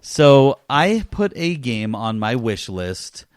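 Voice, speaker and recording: A man's voice: mid-range voice, very animated, slow, clear, resonant tone, no room sound, clean studio sound. A man hosting a casual gaming podcast, telling stories in an enthusiastic, emphatic way. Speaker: podcast host.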